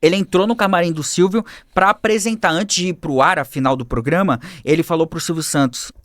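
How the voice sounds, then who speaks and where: A man telling his life story as a podcast guest, animated and acting out the people he quotes, on a studio mic. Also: on the high side, fairly quick, no room sound. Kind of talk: personal storytelling in a podcast interview.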